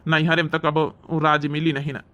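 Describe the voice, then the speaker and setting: Man voicing older cartoon village father, highish forceful voice, Awadhi accent, affectionate. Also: monotone, brisk. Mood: fond.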